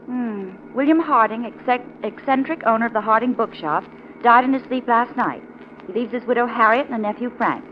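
An actress with an American accent playing a pert, practical, sceptical secretary in a 1940s radio drama. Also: female voice, a little high, fairly quick, lightly acted. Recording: muffled, noisy 1940s radio recording.

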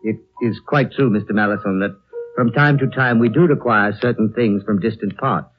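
An actor in a 1930s radio drama playing a courteous, evasive lamasery host, speaking in formal, measured phrases. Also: male voice, fairly low pitch, close to an everyday voice. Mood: serene, courteous. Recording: old muffled radio recording, music behind.

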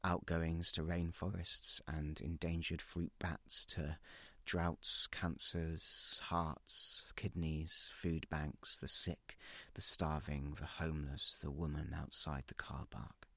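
A man's voice: very deep voice, level tone, slow pace, creaky, hardly any pauses, sharp tone, no room sound, exaggerated voice. A man reading a dramatic first-person story as a guilt-ridden, unravelling, well-off narrator, confessional and intense in short clipped phrases. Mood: numb, defeated.

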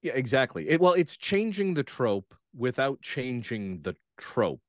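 A man talking casually and reflectively as an interview guest, phrasing his thoughts in measured pieces. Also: mid-range voice, big pitch swings, no echo, clean studio sound. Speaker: guest playwright and actor. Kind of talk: casual discussion.

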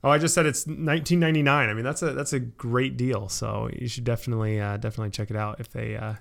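A man's voice: mid-range voice, steady tone, fast, gravelly. A man co-hosting a podcast, chatting casually with an upbeat, joking manner. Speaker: podcast co-host. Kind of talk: casual chat.

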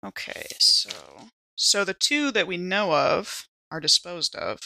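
A woman's voice: lowish voice, big pitch swings, slow, bright, forceful tone, rough recording. A woman chatting casually as a player in a tabletop roleplaying game. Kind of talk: casual in-character roleplay chat.

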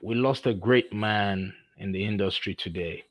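A man speaks with a Nigerian accent, hosting a conversational interview show. His voice sits a little low, and he talks effusively, pausing often on fillers as he goes. The sound is dry and close. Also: no room sound. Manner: effusive, halting with frequent fillers. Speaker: podcast host.

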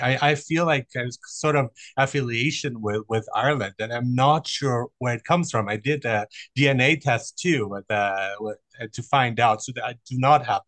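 A man's voice: medium pitch, fairly bright tone, no room sound. Chatty host man, dry sound. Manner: friendly, rambling with repeated words. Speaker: interview host. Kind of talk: conversational interview.

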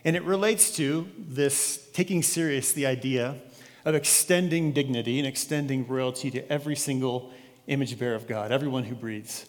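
A man leading a church service as pastor, speaking in a relaxed, friendly, conversational way. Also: mid-range voice, moderate pace. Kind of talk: sermon introduction. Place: church sanctuary. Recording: long hall reverb.

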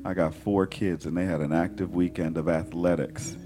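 A pastor preaching a sermon in a hoarse, raspy voice, building energy and talking directly to his congregation. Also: low-pitched, level tone, moderate pace. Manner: preaching with rising call-and-response energy. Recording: sermon with organ music behind. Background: organ and instrumental music.

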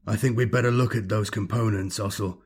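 A man's voice: fairly low pitch, steady tone, brisk, darkish tone, breathy edge. A man voicing a weary, grimly resolute male tech-priest and mentor, grave and muttering, in a sci-fi audiobook. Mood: weary dread.

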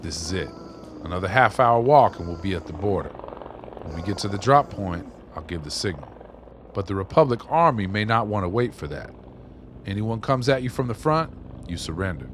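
A man's voice: sits low, lively intonation, fairly quick, fairly bright tone. A man acting a shrewd, commanding, foul-mouthed getaway driver in a radio drama. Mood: terse, commanding. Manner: commanding, profane, streetwise. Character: Shadow Man, shrewd, hard-bitten male driver and smuggler. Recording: noisy outdoor vehicle ambience.

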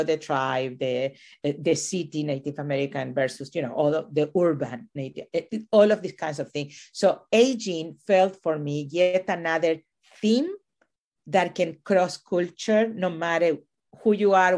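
Reflective middle-aged woman, lowish voice. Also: measured pace, a little mellow. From Chile, raised in Venezuela. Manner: thoughtful, discursive, with frequent fillers and restarts. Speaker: university administrator and professor, podcast guest. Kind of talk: reflective interview conversation.

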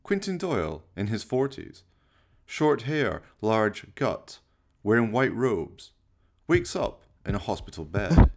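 Man playing a sinister, deep-voiced horror storyteller. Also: sing-song, a little mellow, exaggerated voice. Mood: ominous, wry. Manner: slow, menacing, mock-polite, ornate and dramatic. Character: sinister, courtly horror-tale storyteller by a fireside, darkly sardonic. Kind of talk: theatrical horror radio play narration.

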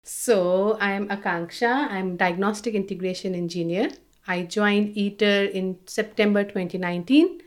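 A woman's voice: mid-range voice, normal speed. A woman in her thirties from India with an Indian accent, answering interview questions in a conversational, explanatory way. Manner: explanatory, frequent "you know". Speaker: engineer, interview guest.

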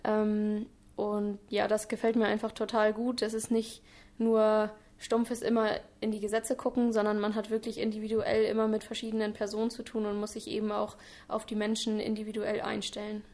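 Earnest young woman with a flat voice. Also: medium pitch, normal speed. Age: 20s. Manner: earnest, explains at length with fillers. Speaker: trainee in public administration. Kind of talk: interview answers.

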